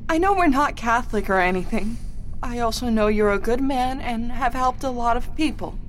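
Woman acting as lovestruck young daughter, high voice, emotional, defiant, radio drama, slight background noise. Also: animated, normal speed, close to an everyday voice. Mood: earnest. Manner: earnest, emotional.

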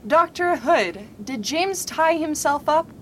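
Radio-play ingénue played by a woman, high voice, earnest, loyal and fondly scolding. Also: expressive, slow. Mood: defensive. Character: earnest, protective young woman, fond and scolding. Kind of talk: radio mystery acting. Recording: faint rumbling background noise.

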